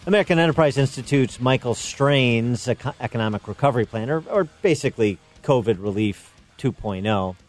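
A man hosting a talk radio show, speaking in a measured, deliberate way with frequent pauses. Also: medium pitch, expressive, soft background music.

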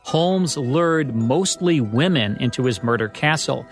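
A man's voice: medium pitch, lively intonation, unhurried, faint music behind. An American man reading scripted narration as the host of a radio show.

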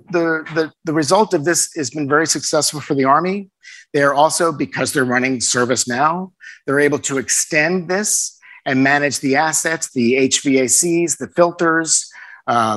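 A man giving a conference presentation into a microphone, making an upbeat sales-style pitch with everyday comparisons. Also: fairly bright tone. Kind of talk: conference talk. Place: conference session room. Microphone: handheld microphone.

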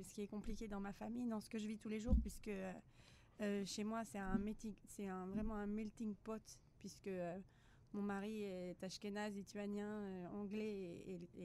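A talkative, emphatic woman speaks as a guest in a casual discussion. A faint low rumble hums under her voice. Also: dark tone. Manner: talkative, emphatic, laughs easily. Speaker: studio guest.

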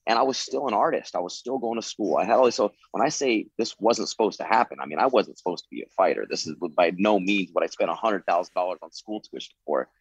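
A man's voice: fairly low pitch, lively intonation, quick pace, few pauses, slightly rough, no echo, dull audio. A man in his late thirties, a former fighter turned coach, answering interview questions in an earnest way, leaning on "you know" as he talks. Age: 30s. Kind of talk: interview answers, reflective storytelling.

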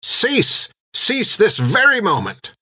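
A man's voice: high voice, wildly swooping pitch, slow, no echo, voice pushed up, heavily acted. A man doing Sister Hilda, a stern old nun. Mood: commanding. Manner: sharp, commanding, scolding. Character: stern elderly woman nun, strict orphanage disciplinarian.

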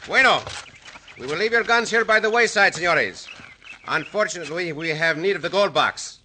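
An actor playing a suave, gallant, mocking Mexican bandit hero in an old radio western, courtly and teasing with a Mexican accent. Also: male voice, sits high, lively intonation, moderate pace, bright, forceful tone, exaggerated voice. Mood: gallant, commanding. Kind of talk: 1950s radio western acting. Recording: noisy old radio recording with clicks.

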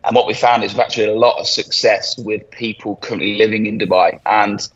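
A man's voice: lowish voice, fairly even intonation, fast, husky, dull audio. A man who is a chief executive, answering conversationally as a live interview guest.

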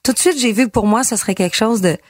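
A middle-aged woman from Quebec with a Québécois French accent, candidly telling her story as a country singer and often pausing on fillers. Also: on the high side, dry recording, clean studio sound. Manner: candid, halting with frequent fillers. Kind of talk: personal storytelling.

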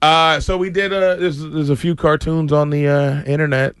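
A man from Boston, a comedian guest on a morning radio show, telling stories in an animated way and laughing often. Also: fairly high pitch, normal speed, clear, resonant tone, dry recording. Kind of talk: casual radio chat.

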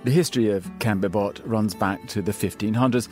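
A man reading his own scripted essay aloud in a measured, wry storytelling manner. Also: on the low side, a little mellow, soft background music. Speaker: columnist reading his piece. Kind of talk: scripted narration.

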